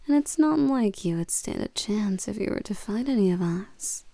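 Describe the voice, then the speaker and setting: A woman playing a regal, seductive and domineering vampire queen in a scripted roleplay audio drama, coaxing and commanding the listener with soft menace. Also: mid-range voice, animated, normal speed, gravelly, no echo, clean studio sound. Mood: predatory, taunting.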